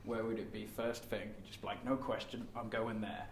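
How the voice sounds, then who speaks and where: A man's voice: on the low side, flat delivery, normal speed. Chatty male interviewer in an echoey room. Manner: chatty, encouraging. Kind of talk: casual, informal interview. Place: indoors at a university. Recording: echoey room, distant mic, faint noise.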